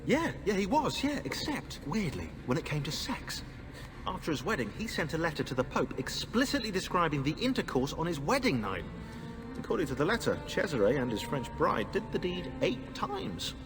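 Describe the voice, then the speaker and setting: An actor playing an eager, informal history enthusiast telling stories casually in a video game. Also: male voice, a little high, moderate pace, big-room sound, music in the background. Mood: amused. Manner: animated, informal. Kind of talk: casual conversational game acting.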